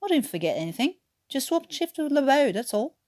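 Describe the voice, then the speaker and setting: A woman's voice: high voice, sing-song, fairly quick, a little mellow, dry recording, pitched above the performer's own voice. Woman playing a defiant English wartime prisoner, male, dry, curt, storybook character. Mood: dry, defensive.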